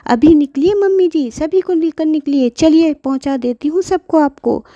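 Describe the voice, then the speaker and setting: A woman's voice: on the high side, animated, takes it slowly, no room sound, highs cut off. Woman playing a cruel daughter-in-law, raised commanding voice, harsh and cold. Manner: sharp, commanding.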